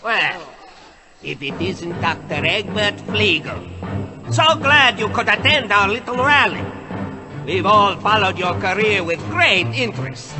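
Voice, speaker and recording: A menacing vampire ruler in an audio drama. His voice is very high for a man and unhurried, with a pompous, rallying delivery. Music plays clearly behind him. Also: expressive. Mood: gloating menace. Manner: pompous, declamatory. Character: grandiose menacing male vampire leader rallying his kind. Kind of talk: theatrical audio drama oratory.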